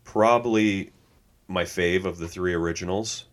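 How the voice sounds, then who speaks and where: A man's voice: low voice, measured pace. A man co-hosting a podcast, chatting casually, reading notes aloud and laughing easily. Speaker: podcast co-host.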